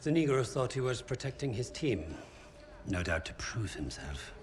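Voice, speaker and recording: An actor playing a deferential Nazi interrogator with a German accent in a cinematic war game. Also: male voice, medium pitch, very animated, normal speed, slight background noise, lightly acted. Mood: deferential, earnest. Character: eager, deferential male Nazi officer and interrogator. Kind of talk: cinematic video game acting.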